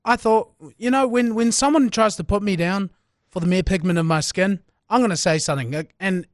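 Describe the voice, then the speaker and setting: Higher-pitched New Zealand man, casual co-host. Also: a little fast, no echo, clean studio sound. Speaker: podcast co-host. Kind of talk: casual banter. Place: studio.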